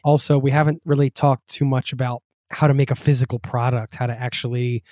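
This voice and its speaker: Male host, mid pitch, mellow voice, friendly and relaxed. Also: a little fast, no echo, clean studio sound. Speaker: podcast host. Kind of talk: casual interview conversation.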